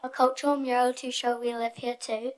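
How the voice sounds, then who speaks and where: Young woman, high voice, very flat intonation, youth presenter, scripted delivery. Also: a little fast. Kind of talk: prepared group presentation, read aloud.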